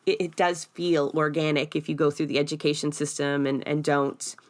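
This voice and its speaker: A woman's voice: low-pitched, level tone, normal speed. A Canadian woman hosting a podcast, speaking in a conversational political discussion. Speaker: podcast host.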